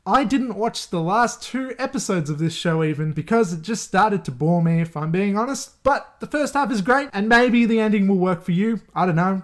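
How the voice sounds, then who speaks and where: A man hosting his channel, giving casual movie recommendations, candid and swearing freely, laughing often between thoughts. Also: high-pitched. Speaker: channel host.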